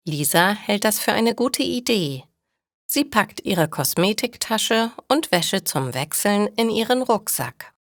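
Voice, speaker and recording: A woman's voice: medium pitch, no room sound, studio quality. A woman narrating scripted stories for language learners, with careful, didactic enunciation.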